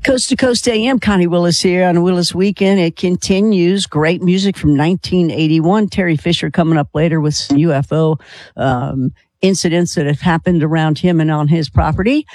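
A woman's voice: fairly low pitch, normal speed, darkish tone, dry recording. American woman, radio talk host, casual interview style, teasing and chatty, close dry sound.

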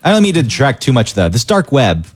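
A man co-hosting a casual talk show, delivering dry, deadpan one-liners. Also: medium pitch, quick pace, slightly rough, dry recording. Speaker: radio show co-host. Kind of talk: casual chat.

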